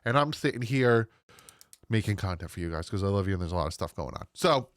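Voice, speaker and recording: A man in his thirties with a lowish voice, thick and nasal from a head cold. He chats to his viewers in a loose, rambling way with quick asides. The sound is dry and close on a desk microphone. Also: expressive, clean tone, no room sound. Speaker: sports channel host. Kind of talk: casual solo sports commentary. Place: home room.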